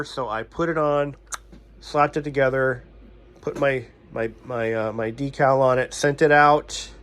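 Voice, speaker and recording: Guy doing a casual repair vlog, explains stuff bluntly, kinda self-deprecating, medium pitched voice. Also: fairly bright tone. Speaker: radio repair technician and channel host.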